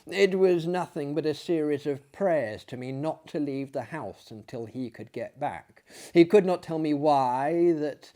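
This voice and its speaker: A man reading as a narrator in a dramatic audiobook style, theatrical, letting his phrases fall away to a hush. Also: on the high side, unhurried, hardly any pauses. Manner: theatrical, hushed phrase endings. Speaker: narrator and performer.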